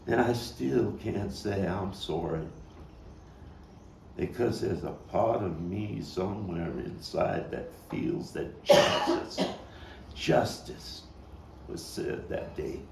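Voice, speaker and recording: A haunted Brooklyn man acted by a man on stage confesses in a low, gravelly voice. He is brooding and halting, full of bitterness and anguish. The theatrical monologue is picked up from a distance in a roomy space. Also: exaggerated voice. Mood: tormented, remorseful. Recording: roomy, distant mic, faint noise.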